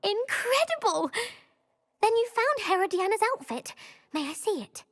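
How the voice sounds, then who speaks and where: A woman voicing an eager, excitable young schoolgirl witch in a video game, gushing and emphatic. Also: extremely high pitch, moderate pace, full character voice. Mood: amazed, eager.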